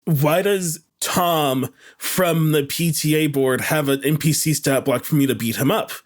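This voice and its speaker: A man's voice: fairly high pitch, measured pace. A man co-hosting a casual, joking panel chat in a wry, conversational way. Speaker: co-host.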